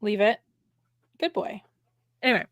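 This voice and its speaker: A woman's voice: mid-range voice, measured pace, no echo. An American woman, a podcast co-host, chatting casually and telling funny stories with frequent laughter. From United States. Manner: chatty storyteller, laughs often. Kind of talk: casual chat between friends.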